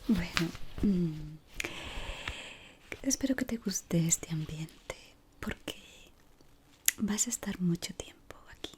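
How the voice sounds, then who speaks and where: A woman doing an ASMR roleplay in a breathy whisper, playing a polite but exacting decorator of an eerie office maze. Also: fairly low pitch, lively intonation, a little hiss or hum. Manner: slow, deliberate, pausing, softly spoken.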